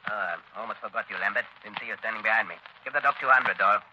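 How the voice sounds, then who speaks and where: A muffled, hissy old radio recording holds a cold gangster boss played by a man. He snaps out curt threats quickly, in a hard, forceful tough-guy voice. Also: on the low side, lightly acted. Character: Tiger, cold threatening male gang boss. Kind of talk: 1940s radio gangster acting.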